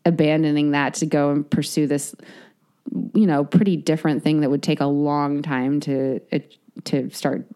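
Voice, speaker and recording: A woman's voice: sits low, little pitch movement, normal speed, mellow, clear, resonant tone. A woman in her late thirties, an author, talking reflectively in a casual interview and searching for words with many fillers. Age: 30s. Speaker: author guest.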